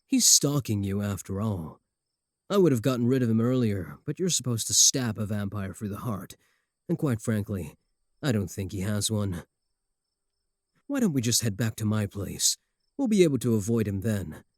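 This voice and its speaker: Sardonic male werewolf suitor, played by a man, comedic ASMR roleplay: slightly deep, possessive, taunting, recorded dry and close. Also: big pitch swings, moderate pace, dry recording. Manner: taunting, sardonic, doting on the listener.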